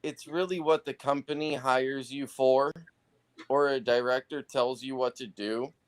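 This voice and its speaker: A man chatting casually as a guest on a live talk show, laid-back and halting, with lots of fillers. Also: mid-range voice, fairly even intonation, smooth. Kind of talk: casual chat.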